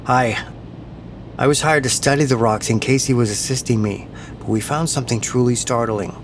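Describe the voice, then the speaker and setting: A man's voice: medium pitch, normal speed, noisy background, natural voice. A man playing an earnest, concerned researcher in an audio drama, speaking seriously as he explains.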